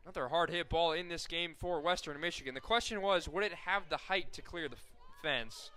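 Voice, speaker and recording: A young man calling softball play-by-play for college radio, correcting himself often and surging loud on big plays. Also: on the high side, fairly quick, clean tone. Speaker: play-by-play announcer.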